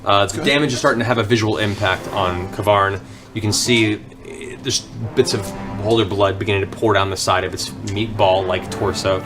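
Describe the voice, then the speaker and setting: A man's voice: fairly low pitch, steady tone, fairly quick. A man narrating a tabletop fantasy game as game master, in a dramatic, descriptive way. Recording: music bed under the voice. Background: background music.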